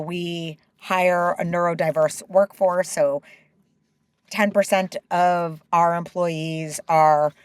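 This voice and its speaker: A middle-aged woman speaks candidly as an interview guest. Her voice sits slightly low, and it is recorded dry and close with no room sound. She talks with open emotion and stops and restarts often. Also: no room sound. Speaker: guest, company co-founder.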